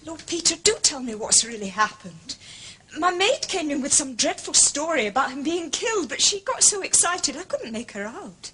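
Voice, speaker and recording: A woman's voice: sits high, big pitch swings, normal speed, sharp tone, slight background noise, heavily acted. An actress playing a guarded, uneasy married woman in a radio drama, speaking politely. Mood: anxious.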